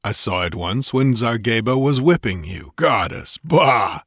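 A man voicing a massive, contemptuous barbarian warrior in an audiobook, barking and rumbling with impatience. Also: lively intonation, normal speed, no echo, studio quality, lightly acted. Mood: angry contempt. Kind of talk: dramatised audiobook character voice.

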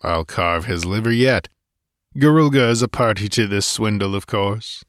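Man playing a gruff, barking barbarian warrior. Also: moderate pace, dry recording, close to an everyday voice. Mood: gruff muttering. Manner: barking, rumbling, muttering, impatient. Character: gruff, contemptuous, massive barbarian warrior, male. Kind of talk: dramatised audiobook character voice.

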